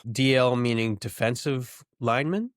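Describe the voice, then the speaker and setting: A man's voice: medium pitch, normal speed, clear, resonant tone, dry recording. A young man co-hosting a podcast, trading sardonic jokes in casual banter. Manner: sardonic, joking. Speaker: podcast co-host.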